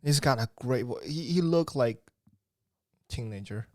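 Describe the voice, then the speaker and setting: A man's voice: medium pitch, animated, unhurried, darkish tone, no room sound. Young guy hosting a podcast, rambly and casual, dry close sound. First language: Chinese. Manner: loose, rambling, swears casually. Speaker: podcast host. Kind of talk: casual chat.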